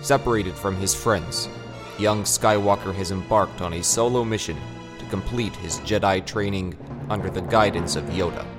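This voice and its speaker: A man's voice: deep voice, normal speed, music in the background. A man narrating an audio drama from a script in a solemn, portentous storytelling manner.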